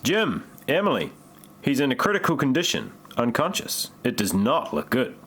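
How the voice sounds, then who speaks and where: Man playing a wry doctor, swooping pitch. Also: wildly swooping pitch, normal speed, a bit bright, slightly rough, full character voice. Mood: mock-grave. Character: flippant male hospital doctor in a parody.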